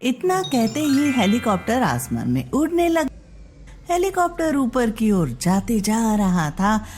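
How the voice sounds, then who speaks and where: Casting: female story narrator, high voice, animated intonation, deliberate scripted delivery. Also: soft background music. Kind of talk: scripted Hindi children's-style story narration.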